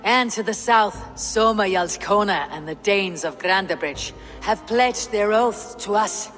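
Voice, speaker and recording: A proud female Viking leader from a game. She speaks with measured command at a middle pitch. The hall rings around her and music plays underneath. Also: lively intonation, fairly bright tone. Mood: proud oratory. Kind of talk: video game cutscene acting. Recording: reverberant hall with music behind.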